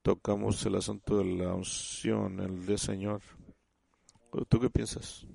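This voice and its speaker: A man giving a sermon in halting speech, often restarting and repeating words as he teaches the congregation. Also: lowish voice, level tone, creaky, dark tone, no echo. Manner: addresses listeners as "usted". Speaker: church speaker.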